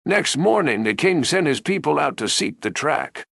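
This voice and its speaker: A man narrating a bedtime fairy tale as a storyteller, in an expressive, deliberate way. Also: fairly high pitch, vocal fry, no room sound, clean studio sound.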